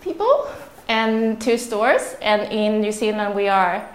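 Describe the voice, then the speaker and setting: A woman's voice: mid-range voice, lively intonation, slow pace. Nervous Swedish-accented woman in an echoey room. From Sweden. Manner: chatty, laughs often, self-deprecating. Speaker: speaker. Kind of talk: nervous live talk to a small audience. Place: room with audience.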